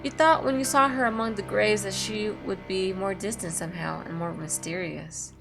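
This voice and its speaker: A woman's voice: mid-range voice, steady tone, moderate pace, gravelly, poor audio. A woman reading a visual novel aloud as a gaming YouTuber, with casual, blunt, sweary asides. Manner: blunt, sweary reactions between read passages. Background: music.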